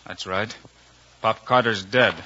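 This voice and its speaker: An actor playing a calm, authoritative Western lawman with an American accent, measured and firm in 1950s radio style. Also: on the low side. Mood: grim. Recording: muffled, noisy old radio recording.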